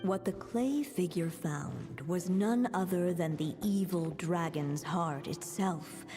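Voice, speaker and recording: A woman playing a gentle storybook narrator in a video game, telling a fairy tale in measured, solemn phrases. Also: lowish voice. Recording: long reverb, music behind. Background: music.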